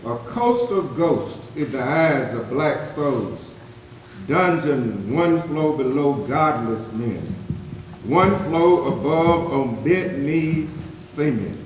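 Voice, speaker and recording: A man performing a solemn poet-witness who mourns the horrors of slavery, reciting dramatic verse in a declamatory, deliberate way with weighted pauses. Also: a little high. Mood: solemn, bitter. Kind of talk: dramatic spoken-word poetry recitation, readers theatre. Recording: very echoey hall, distant mic, faint noise.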